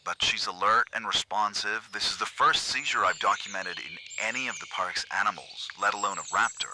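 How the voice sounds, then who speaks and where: A man's voice: fairly low pitch, fairly quick, lightly acted. A man playing a clinical, matter-of-fact park veterinarian who reports in clipped technical phrases in a scripted horror drama. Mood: clinical, assured. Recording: faintly noisy, sharp-edged recording.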